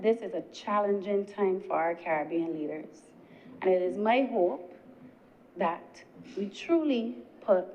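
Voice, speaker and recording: A woman's voice: medium pitch, darkish tone, slight background noise. Formal woman senator at the podium. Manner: formal, deliberate speech closing. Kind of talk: parliamentary speech. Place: senate chamber. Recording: dull lo-fi chamber broadcast.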